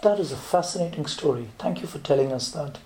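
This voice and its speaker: Woman interviewer, low mellow voice, encouraging, short prompts. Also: lively intonation, fast. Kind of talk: casual interview with a child.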